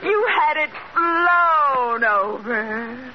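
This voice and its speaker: A woman's voice: high-pitched, expressive, very slow, full character voice. An actress playing a pretentious, gushing society woman in a 1940s-style radio sitcom. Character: affected, gushing society woman flaunting worldliness. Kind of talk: 1940s radio situation comedy acting. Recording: noisy muffled old radio recording.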